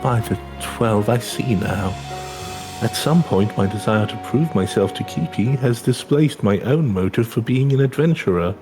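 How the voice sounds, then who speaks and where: A man's voice: lowish voice, lively intonation, moderate pace, natural voice. A man playing a polite, earnest young adventurer from a video game, formal and humbly reflective. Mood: rueful realization. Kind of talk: video game dialogue read aloud in character. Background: game music.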